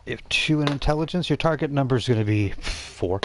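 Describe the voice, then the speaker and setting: A man's voice: medium pitch, lively intonation, fast talker, noisy background. A man running a tabletop game as game master, improvising narration and explaining rules in an informal way.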